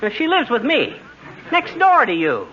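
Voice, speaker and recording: Cocky, folksy husband for vintage radio comedy: high, piercing voice, slangy, impatient, scratchy recording. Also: male voice, huge pitch swings, normal speed, pushed and bright, muffled recording, full character voice. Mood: brash, puzzled. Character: cocky, folksy, gullible middle-aged husband. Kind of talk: 1940s radio comedy acting.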